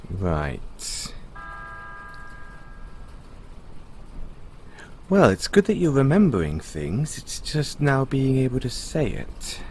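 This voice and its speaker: Man acting a kindly British owner, lively pitch swings. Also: a little hiss or hum. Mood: gentle, apologetic. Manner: gentle, hesitant, stammering, chuckly. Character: kindly, chatty male owner of a rescued android. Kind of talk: audio roleplay addressed to the listener.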